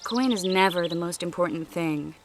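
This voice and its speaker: An actress playing a wary, secretive sorceress in a fantasy video game, speaking in a measured, earnest, protective way. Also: female voice, fairly low pitch, noisy background, close to an everyday voice. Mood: guarded.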